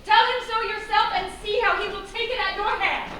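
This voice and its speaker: An actress playing a defiant, desperate young noble daughter, speaking earnestly in Shakespearean stage style. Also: female voice, very high-pitched, fairly even intonation, fairly quick, bright, forceful tone. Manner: earnest, shifting from defiance to pleading. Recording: echoey stage from far off, faint noise.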